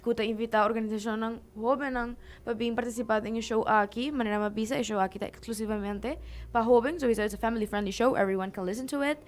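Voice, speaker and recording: A woman's voice: a little high, steady tone, quick pace. A teenage girl from Aruba talking eagerly about her new youth radio show, switching between languages as she goes. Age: teens. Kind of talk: radio interview talk.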